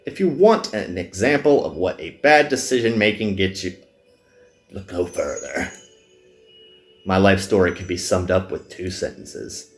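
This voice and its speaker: Man playing a low, wry reluctant young recruit. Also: lively intonation, measured pace, soft background music. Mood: gloomy, wry. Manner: dry, downbeat. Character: reluctant, self-deprecating young male magician recruit, wry. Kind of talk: visual novel reading.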